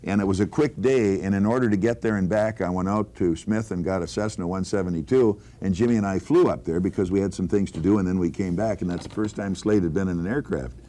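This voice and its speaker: An older man speaks in a low, very mellow and dark voice. He reminisces like a seasoned radio veteran, casual and folksy, with emphatic phrasing. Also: fast talker, few pauses.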